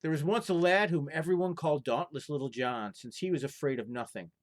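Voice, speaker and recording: A man's voice: a little high, brisk. A man telling folktales as an informal storyteller, moving between casual chat and narration.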